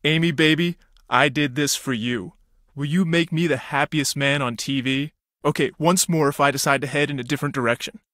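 A man's voice: fairly high pitch, steady tone, a little fast, a bit bright, dry recording, clean studio sound, natural voice. An actor voicing a vain, self-absorbed male reality-show star in a video game, with a hammy, showy delivery. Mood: slick, showy.

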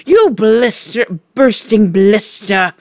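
A woman's voice: low-pitched, lively intonation, slow pace, no room sound, clean studio sound. Woman narrator as storybook headmistress, enormous bully, furious, barking insults, scornful.

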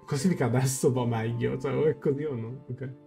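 Italian man, twenties, game streamer, soft dark tone, casual commentary, faint music. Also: medium pitch, fairly even intonation, fast, creaky. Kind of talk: casual live reaction and translation commentary. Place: small room at home.

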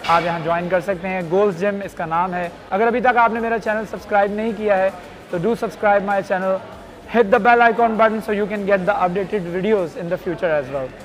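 A man's voice: high voice, measured pace, soft background music. A man, a fitness vlogger giving an earnest motivational talk to camera, slipping English phrases into his speech. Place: indoor gym with green wall. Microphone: lapel mic. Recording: reverberant gym room.

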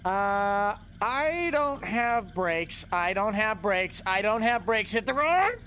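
A man giving casual, chatty commentary over his gameplay, talking straight to his viewers with excitable outbursts. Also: high-pitched, takes it slowly, a little hiss or hum. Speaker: gameplay video host.

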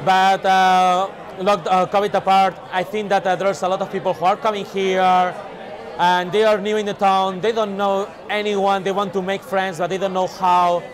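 A man with a Spanish accent, a native Spanish speaker, giving casual, halting interview answers as an event organiser. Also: sits high, flat delivery. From Spain. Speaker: meetup organiser. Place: large busy indoor venue. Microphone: clip-on lapel. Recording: busy crowd chatter behind.

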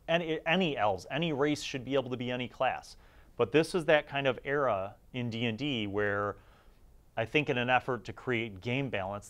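A middle-aged man giving casual, opinionated review commentary in an informal, conversational way as the host of a series. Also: on the low side.

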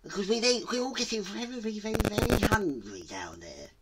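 A storyteller voicing a slow, timid, polite tortoise, hesitant and drawn-out, for a children's tale. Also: mid-range voice, big pitch swings, very noisy, pitched above the performer's own voice.

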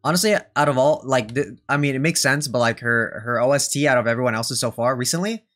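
A man's voice: mid-range voice, fast. A man in his twenties giving casual, slangy reaction commentary, exclaiming and repeating himself. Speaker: reaction streamer. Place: small bedroom streaming setup, indoors. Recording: loud music from played videos. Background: game trailer music.

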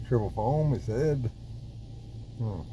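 An older man giving unhurried, mumbling commentary from inside his car in a car wash, easily amused. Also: mid-range voice, expressive. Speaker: vlogger. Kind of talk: casual running commentary. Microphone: phone or camera on the dashboard. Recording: loud car wash noise behind.

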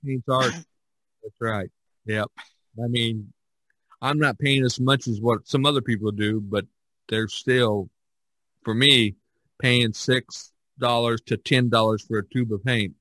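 A man hosting a podcast in casual, halting talk, spelling things out as he goes. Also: mid-range voice, steady tone, pushed and bright, no echo, low-quality recording. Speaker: podcast host. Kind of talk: casual chat and sign-off.

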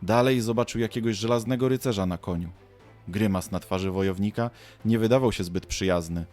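Narrator for an audio drama: man, lowish pitch, scripted storytelling, faint music underneath. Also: brisk, a little mellow.

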